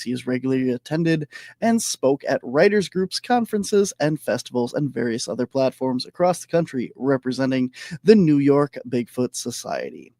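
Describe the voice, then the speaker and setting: A man hosting a monster podcast, energetic with many fillers, his voice going hoarse. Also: mid-range voice, no room sound, clean studio sound. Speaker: podcast host. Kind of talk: casual interview.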